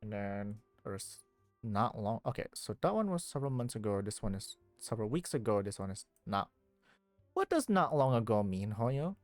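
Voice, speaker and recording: A woman's voice: extremely low pitch, sing-song, moderate pace, darkish tone, no echo. Woman game streamer, close dry mic, reading dialogue aloud, live commentary, game music behind.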